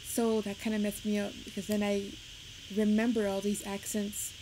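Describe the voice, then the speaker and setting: Fast-talking Puerto Rican woman, noisy stream. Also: medium pitch, monotone. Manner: fast, rambling, nervous, giggly. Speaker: live streamer. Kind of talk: rambling casual chat on a livestream. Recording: noisy home stream audio.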